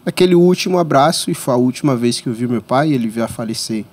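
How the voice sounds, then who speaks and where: Man with a French first language, animated storyteller. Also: quick pace, husky. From Congo. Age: 30s. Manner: animated storyteller, pitch jumps when excited. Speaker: podcast guest, missionary. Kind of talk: storytelling interview. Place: small podcast studio, indoors. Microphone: studio mic on boom arm.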